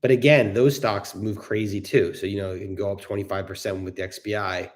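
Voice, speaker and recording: Low-voiced man talking stocks off the cuff. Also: steady tone, fairly quick. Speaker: solo investor hosting a stock discussion. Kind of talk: casual off-the-cuff market commentary with audience questions.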